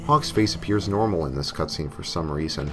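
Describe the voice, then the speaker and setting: Low-pitched male creepypasta narrator over music. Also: brisk. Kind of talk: scripted first-person horror story narration.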